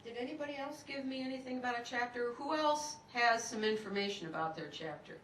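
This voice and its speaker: A bright-voiced woman runs a club meeting in a relaxed, chatty way. She calls on members by name. She is heard from some distance in a roomy hall with faint noise. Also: on the high side, a little fast. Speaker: meeting leader. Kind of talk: casual meeting talk.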